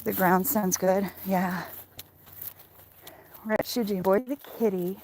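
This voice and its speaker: A woman with a mid-pitched voice chats off the cuff on an outdoor walking livestream. She rambles in a playful, wry and salty way. There is noticeable noise on the recording. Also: steady tone, takes it slowly, a little mellow. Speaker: livestreamer hosting a walk-along. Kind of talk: casual livestream chat while walking. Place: outdoors on a neighborhood walk. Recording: noisy outdoor handheld recording.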